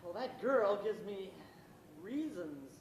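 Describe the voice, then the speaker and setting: A man's voice: high voice, very animated, slow, exaggerated voice. A man playing a defensive, lovestruck teenage boy in an amateur stage play. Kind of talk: amateur one-act stage play acting. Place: auditorium stage, miked from far off. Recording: echoey distant stage recording with noise.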